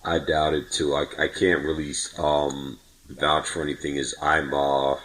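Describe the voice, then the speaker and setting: A man with a very deep, smoky voice, chatting casually in a halting way and repeating the starts of words. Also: flat delivery, a bit bright, rough recording. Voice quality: very deep voice that sounds like a heavy smoker's. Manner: halting, repeats word beginnings. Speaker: podcast guest and co-host. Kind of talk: casual conversation with a dramatic recitation.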